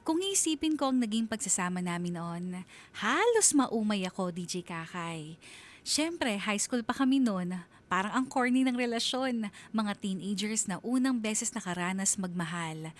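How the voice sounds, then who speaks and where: A woman's voice: mid-range voice, animated, moderate pace. A woman narrating a scripted radio drama story in a confiding, reflective manner.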